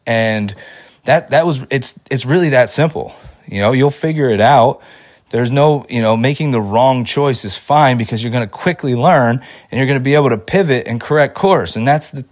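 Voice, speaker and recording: A man hosting a podcast, talking casually and giving upbeat motivational advice, his voice a little off from a lingering cold. He rambles with fillers and restarts. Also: medium pitch. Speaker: podcast host. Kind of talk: casual solo podcast talk.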